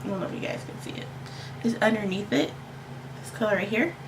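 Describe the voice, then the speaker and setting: A woman vlogger talks casually to her viewers in a playful, slangy way. Her voice is congested and a bit tired, sitting around a middle pitch with plenty of fry. Clear background noise runs through the recording. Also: quick pace. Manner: playful, slangy, emphatic repetition. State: tired, under the weather with allergies. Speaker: beauty vlogger and makeup tutorial host. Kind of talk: casual chatty makeup tutorial to camera. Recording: clearly noisy home recording.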